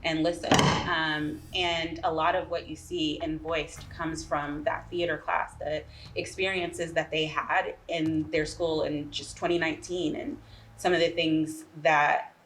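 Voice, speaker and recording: A woman playwright giving thoughtful, earnest interview answers at a measured pace. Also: low-pitched, level tone, a bit bright, slight background noise, low-quality recording.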